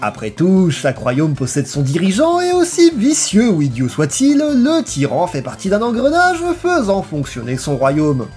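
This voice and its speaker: A narrator delivering a scripted video review in an expressive, emphatic way. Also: mid-range voice, normal speed, few pauses, faint music behind.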